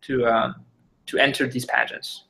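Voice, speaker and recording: A man hosting a live online interview, asking questions haltingly with many restarts and fillers. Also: mid-range voice, little pitch movement, sharp tone, rough recording. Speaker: interview host.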